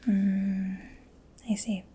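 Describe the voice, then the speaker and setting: Female ASMR performer, soft whispery mechanic roleplay, dark mellow tone, close-miked with some noise behind. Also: mid-range voice, completely flat delivery, very slow. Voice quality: soft, breathy, mostly whispered. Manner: gentle, personal-attention murmuring. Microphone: close-up ASMR microphone.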